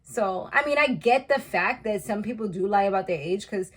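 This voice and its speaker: A woman's voice: medium pitch, lively intonation, normal speed, husky. A woman in her thirties casually recapping a reality show, chatty and slangy, laughing at her own asides. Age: 30s.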